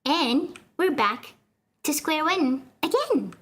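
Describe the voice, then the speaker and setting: A woman's voice: high-pitched, very animated, slow pace, fairly bright tone. A woman streamer reading a comic aloud in chatty, giggly commentary to her chat. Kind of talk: casual readalong commentary.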